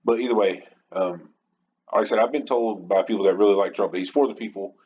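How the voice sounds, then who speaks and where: A man's voice: fairly low pitch, quick pace, darkish tone. A man hosting a podcast, giving a casual, rambling, opinionated monologue in an informal way. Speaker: podcast host. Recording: muffled lo-fi home recording, background noise.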